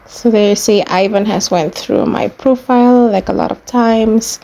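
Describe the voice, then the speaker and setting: Namibian woman with a creaky voice. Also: medium pitch, moderate pace, warm tone, low-quality recording. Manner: plain-spoken, explanatory, step by step. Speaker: YouTuber giving a how-to tutorial. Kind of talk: informal screen walkthrough, casual instruction.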